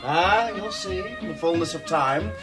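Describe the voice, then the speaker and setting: An actor playing a sardonic, increasingly unsettled museum director in a radio drama, with a dry, curt manner. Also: male voice, a little high, moderate pace, close to an everyday voice. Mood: wry. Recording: music under the voice.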